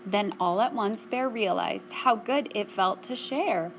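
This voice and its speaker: A woman's voice: mid-range voice, a little slow, echoey room, slight background noise, rough recording. A woman hosting a library story time, reading a picture book and chatting simply to young children with questions.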